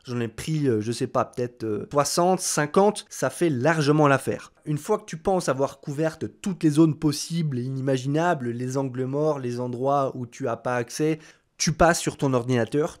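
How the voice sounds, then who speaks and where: A young man talking the viewer through a software tutorial in a casual, joking, chatty way, as if to a friend. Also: medium pitch, normal speed. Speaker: tutorial host. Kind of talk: casual screen-recorded tutorial.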